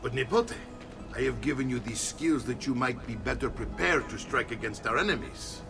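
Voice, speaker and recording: A man's voice: mid-range voice, normal speed, lightly acted. A man playing a bluff middle-aged Italian mercenary uncle in a video game, theatrical and commanding, with an Italian accent. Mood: indignant. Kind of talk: video game cutscene acting. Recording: distant, reverberant game audio under music.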